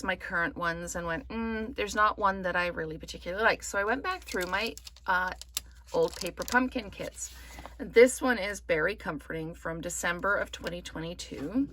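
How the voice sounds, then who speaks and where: A woman from Canada giving a relaxed craft tutorial, her voice slightly croaky from smoke, rambling with many ums. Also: fairly low pitch, slight background noise. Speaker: card-making demonstrator. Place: indoors at a craft table.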